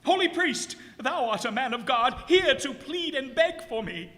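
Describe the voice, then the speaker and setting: A man's voice: extremely high pitch, lively intonation, moderate pace, sharp tone, exaggerated voice. A man playing a blasphemous, proud ship's captain under a curse, declaiming in archaic, anguished radio drama style. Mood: terrified pleading. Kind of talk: old-time radio drama acting. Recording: echoey hall, stage performance.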